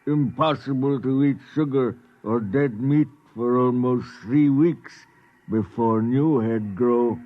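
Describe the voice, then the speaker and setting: Casting: man as slow, fatalistic alien, broken English, polite, mid pitch, vintage radio sci-fi, dull old recording. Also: lightly acted. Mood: placid. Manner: halting broken English, formal and unhurried. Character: placid, slow-witted male alien native, courteous and fatalistic. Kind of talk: 1950s radio drama acting.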